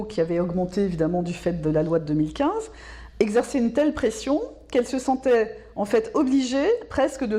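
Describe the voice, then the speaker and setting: A woman giving explanatory interview answers as a specialist, in a measured, didactic way, repeating words for emphasis. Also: fairly high pitch, dark tone. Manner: measured, didactic, emphatic repetition, checks understanding with tags. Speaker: local government specialist being interviewed.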